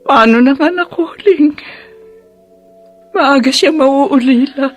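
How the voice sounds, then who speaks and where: An actress playing a gentle, gravely ill mother in a Filipino radio drama, halting and emotional, with a Filipino accent. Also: high-pitched, soft background music. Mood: fearful, sorrowful. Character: gentle, gravely ill mother breaking news to her daughter.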